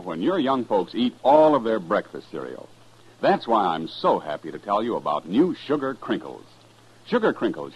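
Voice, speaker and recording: A man's voice: fairly low pitch, breathy edge. A man announcing a 1950s radio commercial as a folksy, enthusiastic salesman. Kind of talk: 1950s radio advertising pitch. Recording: dull vintage radio recording.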